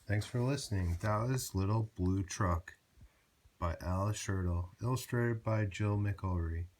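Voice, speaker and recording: A man narrating a children's picture book aloud in a measured, rhyming read-aloud manner. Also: on the low side, darkish tone, slight background noise.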